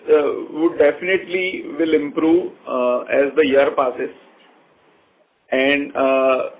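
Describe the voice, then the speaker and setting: A man's voice: fairly high pitch, vocal fry, highs cut off. A man with an Indian accent, a company executive answering questions formally and confidently on an earnings call.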